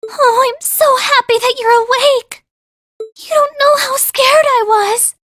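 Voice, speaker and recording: A woman's voice: extremely high pitch, steady tone, slow, a bit bright, heavily acted. A woman voicing a sweet, devoted teenage younger sister in an anime-style game, earnest and tender. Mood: tearful relief.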